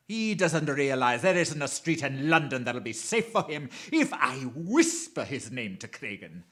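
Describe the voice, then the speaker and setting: A man's voice: mid-range voice, big pitch swings, pushed and bright, full character voice. An actor playing a gloating, menacing crime mastermind in a radio drama, relishing and repeating his threats. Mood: brooding menace. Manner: slow relishing menace, chuckling, repeats phrases.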